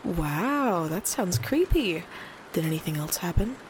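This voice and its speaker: Woman acting as female late-night radio host, lowish voice, eerie and concerned, audio drama, clear background noise. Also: very animated, unhurried. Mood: concerned, engaging.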